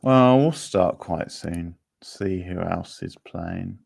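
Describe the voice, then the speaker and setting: A man's voice: low-pitched, huge pitch swings, takes it slowly, gravelly, darkish tone, no echo, clean studio sound. A man hosting a quiz, chatting casually and informally with viewers on a livestream. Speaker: quiz host.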